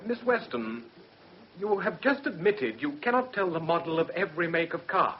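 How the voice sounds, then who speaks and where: A man's voice: on the high side, expressive, normal speed, slight background noise, lightly acted. A man playing a sceptical British defence barrister in a radio drama, cross-examining with polite but pointed challenges. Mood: challenging. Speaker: actor. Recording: muffled vintage radio drama recording.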